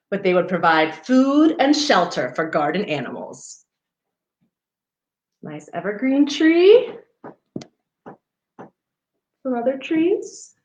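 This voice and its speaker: A woman reading a children's picture book aloud, expressively, with chatty asides to young listeners. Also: on the high side. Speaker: storybook reader for a parks program. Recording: roomy, echoing sound.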